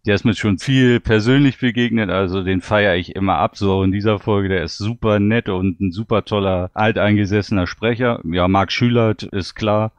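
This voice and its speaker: Low-voiced man in casual panel talk. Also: moderate pace, hardly any pauses, dry recording. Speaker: podcast panelist reviewing audio dramas.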